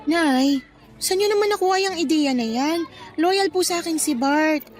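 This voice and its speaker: Actress as devoted, defensive wife, high voice, earnest radio drama delivery. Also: female voice, moderate pace, darkish tone, faint music behind. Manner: pleading, earnest. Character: loyal middle-aged wife and daughter, trusting, defensive. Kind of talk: Tagalog radio drama acting.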